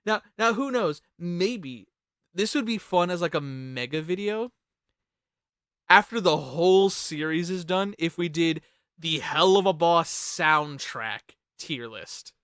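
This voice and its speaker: A host in a casual group chat, talking in an animated, rambling way with some swearing. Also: medium pitch, takes it slowly, clean tone, no echo, studio quality.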